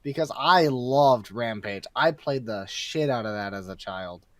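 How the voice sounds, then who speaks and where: A man's voice: medium pitch, expressive, a little slow, fairly bright tone, no room sound, clean studio sound. A man talking as a podcast guest in casual, opinionated, emphatic chat. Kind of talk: casual chat about games and movies.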